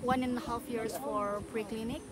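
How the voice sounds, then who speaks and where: A woman's voice: fairly high pitch, steady tone, normal speed. A young woman in her twenties, a medical student, answering questions hesitantly with many fillers. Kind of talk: casual chat.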